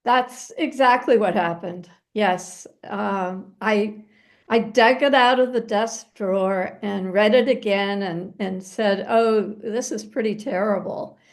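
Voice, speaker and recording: A woman's voice: mid-range voice, takes it slowly. An American woman from New Hampshire, an interview guest telling stories casually in a hesitant, reflective way. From New Hampshire, United States. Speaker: guest, novelist.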